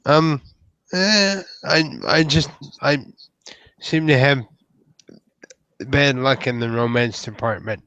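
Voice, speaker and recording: A man playing a shy, polite, lovelorn male troll, with halting and formal wording in a tabletop roleplay. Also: mid-range voice, a bit bright, smooth, dry recording, dull audio. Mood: shy, sad.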